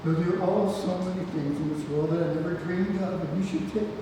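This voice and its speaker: Man acting a halting old grandfather, echoey hall. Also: sits high, natural voice. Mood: tender, encouraging. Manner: halting, trailing off. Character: frail, ailing old grandfather, wistful, tender, testy with his son. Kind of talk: amateur stage play table read. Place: theatre room, far from the mic. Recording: distant, very echoey hall, noisy.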